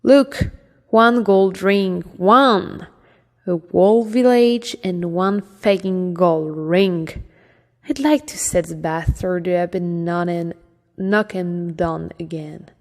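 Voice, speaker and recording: A woman with a French accent voicing a greedy, brutal male bandit who complains loudly. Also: lowish voice, slow pace. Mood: angry grumbling. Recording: long hall reverb.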